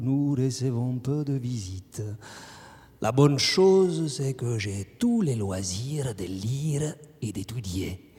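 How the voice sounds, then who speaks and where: A man's voice: mid-range voice, darkish tone. An actor playing an eccentric, punning male concierge poet who talks in a drawling, theatrical way with whispered asides. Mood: sly, ironic. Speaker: interrogated suspect. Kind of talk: theatrical monologue acting. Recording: long reverb with faint music.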